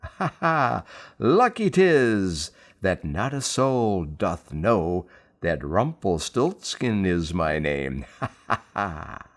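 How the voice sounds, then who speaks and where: A man voicing a sly, gleeful, bargaining little goblin-like man in a storybook, with drawn-out, insistent, theatrical delivery. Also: low voice, big pitch swings, exaggerated voice.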